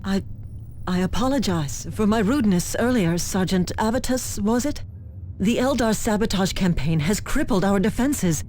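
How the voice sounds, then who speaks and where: A woman's voice: medium pitch, lively intonation, normal speed, a little mellow, slight background noise, close to an everyday voice. A woman playing an earnest, formal planetary official under siege in a science fiction game, speaking precisely. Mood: anxious, contrite. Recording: clear music behind the voice. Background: game music.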